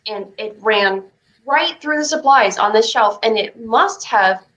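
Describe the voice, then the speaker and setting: A woman's voice: a little high, animated, measured pace, fairly bright tone, highs cut off. A woman playing an anxious, insistent young girl in a staged play reading, earnest and protective. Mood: flustered. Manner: earnest, flustered, pressing.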